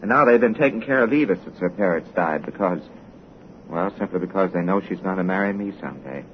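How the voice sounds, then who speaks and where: A gentle, earnest young American down on his luck, with an animated, wide-ranging voice. The delivery is vintage radio drama, on a muffled recording with clear noise. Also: sits low, quick pace. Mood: wistful. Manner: earnest, polite, expressive. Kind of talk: 1930s radio drama acting.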